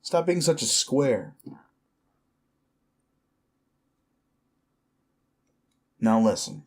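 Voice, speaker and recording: A man's voice: mid-range voice, big pitch swings, measured pace, gravelly, darkish tone, full character voice. A man playing a sleazy, bullying werewolf office boss in an audio roleplay, condescending, cajoling and foul-mouthed as he berates the listener. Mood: conspiratorial, threatening. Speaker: voice actor performing a roleplay.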